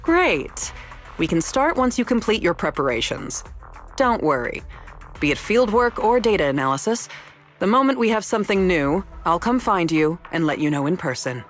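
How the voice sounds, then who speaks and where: A woman voicing a young female researcher in a video game, speaking formally and precisely with dry, quiet evasiveness. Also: on the low side, very animated, normal speed, faint music behind. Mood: measured, matter-of-fact. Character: young female researcher, composed, wry, quietly rule-bending. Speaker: researcher character. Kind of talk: scripted video game dialogue.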